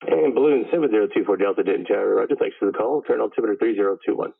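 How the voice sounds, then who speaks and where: A man's voice: on the low side, rapid-fire, creaky, breathy edge. A man working as a tower controller, giving routine procedural air traffic instructions over the radio. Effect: radio transmission. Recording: tinny, phone-like radio channel.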